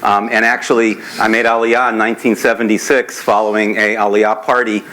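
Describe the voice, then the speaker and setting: A man in his sixties from California telling his story on a conference panel in a fluent, measured way. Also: fairly low pitch, little pitch movement, sharp tone, poor audio. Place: conference hall.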